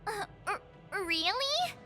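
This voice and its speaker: Perky, very high-pitched teenage girl adventurer. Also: sing-song, slow, pushed and bright, exaggerated voice. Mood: flustered, puzzled. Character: cheerful, curious teenage girl adventurer, apprentice swordswoman. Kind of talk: anime game voice acting. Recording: music playing behind.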